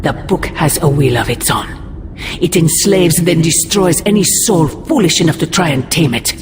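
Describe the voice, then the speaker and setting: A woman's voice: sits low, expressive, normal speed, noisy background. A woman voicing an ancient, imperious sorceress mother in a video game, speaking in grandiose, formal, ominous phrases. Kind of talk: dramatic video game cutscene acting. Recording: loud music underneath.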